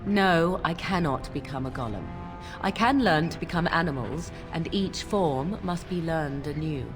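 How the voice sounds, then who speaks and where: A woman's voice: low-pitched, expressive, a little slow, over music. An actress playing a haughty, mocking swamp witch in a video game, with archaic, teasing phrasing. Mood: condescending. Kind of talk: video game character acting.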